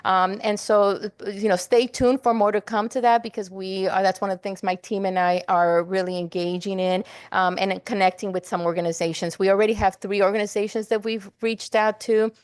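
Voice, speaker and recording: A woman on a committee's staff gives an update at a meeting, halting, with many fillers and restarts. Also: medium pitch, fairly even intonation, clean tone, no room sound. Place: meeting room.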